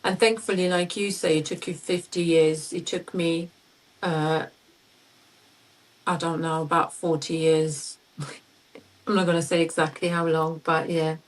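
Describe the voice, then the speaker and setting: A woman in her forties who speaks English as a second language, hosting a personal conversation and talking haltingly, searching for words. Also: mid-range voice, recorded from a distance, low-quality recording. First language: not English. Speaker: podcast host.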